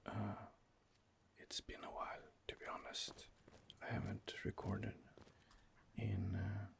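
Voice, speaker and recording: A man giving soft, hesitant, whispered ASMR commentary over gameplay into a close home microphone, pausing often on fillers. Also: lowish voice, monotone, creaky. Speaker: let's play host.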